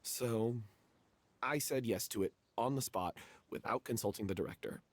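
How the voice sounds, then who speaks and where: A man voicing a remorseful, cowardly young member of a theatre troupe in a video game, halting and anguished as he confesses. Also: on the low side. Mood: confessional, uneasy.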